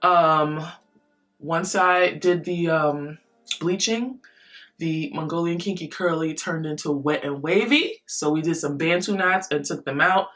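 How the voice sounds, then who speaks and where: A woman beauty vlogger who just woke up, chatting to camera with a low morning voice in a rambling, playful way. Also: unhurried, a bit bright, soft background music. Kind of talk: casual chat to camera.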